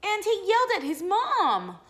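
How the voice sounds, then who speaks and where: Woman, high-pitched, bright, unhurried, expressive children's story-time teacher, encouraging, full of wonder. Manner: encouraging, expressive, exaggerated wonder, asks the listener questions. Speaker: teacher reading a picture book aloud to young children. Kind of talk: animated children's read-aloud with simple explanations and questions.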